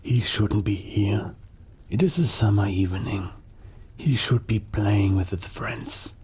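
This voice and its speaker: A man's voice: on the low side, takes it slowly, creaky, dark tone, husky, exaggerated voice. A man playing a bitter, worn-down father, harsh and resentful, in a cinematic audio drama. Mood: curt, resentful. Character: bitter, worn-down 1930s German father and husband, harsh-tempered.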